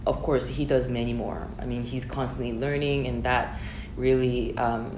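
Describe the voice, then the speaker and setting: A woman from South Korea, a high school teacher, answering thoughtfully with fillers and restarts. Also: flat delivery, normal speed. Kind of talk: casual interview answers. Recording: roomy with background noise.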